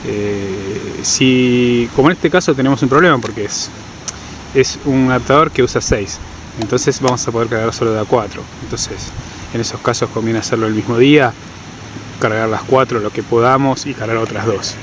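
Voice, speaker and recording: A man's voice: mid-range voice, normal speed. Man explaining, noisy background. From Argentina. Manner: didactic, checks understanding with short questions. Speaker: outdoor guide giving a tutorial. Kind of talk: informal instructional explanation. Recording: clear steady ambient background noise.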